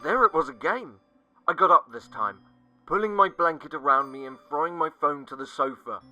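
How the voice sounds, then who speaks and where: A man narrating a scripted first-person horror story, dramatic and sinking to hushed tones at the tense moments. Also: fairly high pitch, normal speed, dull audio. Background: faint music.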